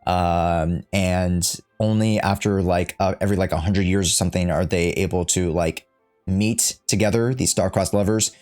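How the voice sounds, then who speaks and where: A man's voice: low-pitched, steady tone, normal speed, a little mellow, soft background music. A man livestreaming a casual, slangy reaction to a game, joking and rambling as he goes.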